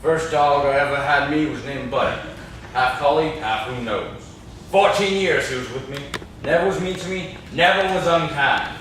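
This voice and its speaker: A man's voice: a little high, normal speed, fairly bright tone, natural voice. A young man acting in an amateur stage play as a menacing, mournful old cabin man with a folksy rustic drawl. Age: young adult. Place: classroom, indoors. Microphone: far camera. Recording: echoey classroom, distant camera mic, noisy.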